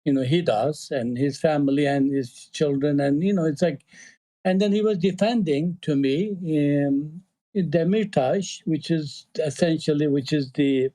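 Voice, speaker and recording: A man from eastern Turkey speaking English with a Turkish accent, talking in a casual panel discussion, halting often with fillers and restarts. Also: a little high, warm tone, rough recording. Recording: online audio call.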